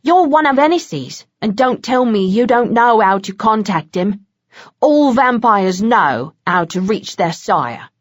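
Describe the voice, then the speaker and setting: A woman's voice: fairly high pitch, no room sound, clean studio sound. A woman voicing a cool, menacing male vampire with a pleasant, mocking manner. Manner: pleasant but threatening, mocking.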